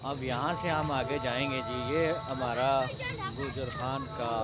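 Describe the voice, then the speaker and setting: A man's voice: medium pitch, steady tone, a little slow. Man narrating a rainy train trip. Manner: chatty, tour-guide commentary. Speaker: vlogger. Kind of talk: travel vlog narration. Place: outdoors at railway stations in rain. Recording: loud outdoor noise.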